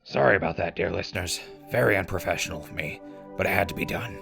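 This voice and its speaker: Laid back wasteland radio host, deep creaky voice, folksy news read over music. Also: male voice. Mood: calm, newsy. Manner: folksy, measured, conversational. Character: laid-back, wry post-apocalyptic settlement radio host. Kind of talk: scripted radio news narration.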